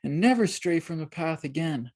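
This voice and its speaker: Storybook girl pilgrim played by an adult narrator: gentle, earnest, childlike, lifted pitch. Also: lively intonation, normal speed. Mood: eager.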